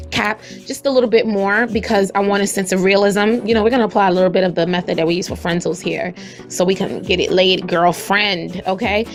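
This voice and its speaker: A young woman with a raspy voice, chatting casually and slangily to her viewers as she walks them through a hair tutorial. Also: medium pitch.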